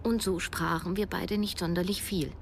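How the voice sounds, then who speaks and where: A woman's voice: lowish voice, steady tone, moderate pace, background noise, close to an everyday voice. An actress playing a broke, self-doubting writer in her thirties, narrating a radio drama in the first person. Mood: reflective. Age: 30s. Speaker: narrator and lead.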